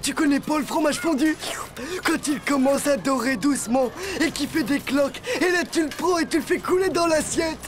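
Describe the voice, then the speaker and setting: A woman's voice: high-pitched, normal speed, lightly acted. A woman voicing an eager, chatty, bossy schoolboy in a French cartoon, full of enthusiasm. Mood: amazed. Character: eager, chatty schoolboy, self-appointed manager and food lover. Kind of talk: French animated cartoon acting. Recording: music behind the voice.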